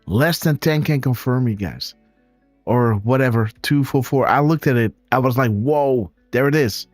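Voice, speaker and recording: A man with a Dutch accent hosting a live show, excitedly commenting on pictures and calling out to his viewers. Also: medium pitch, normal speed, no echo, faint music behind. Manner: excitable, exclaiming, talks to viewers as guys. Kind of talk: casual live-stream commentary on images.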